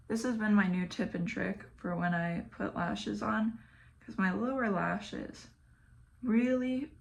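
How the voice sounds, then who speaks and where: A young woman with a Southern American accent, chatting flatly and casually while doing her makeup, sometimes dropping to a mumble or whisper. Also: mid-range voice, gravelly. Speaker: beauty vlogger. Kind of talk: casual get-ready-with-me chat.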